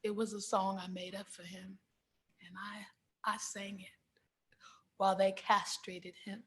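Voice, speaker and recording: Woman acting an anguished, slow-spoken enslaved mother. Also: medium pitch, little pitch movement, a bit bright. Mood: anguished, remembering. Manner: slow, emphatic, repetitive delivery with dramatic pauses. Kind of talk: dramatic theatrical monologue.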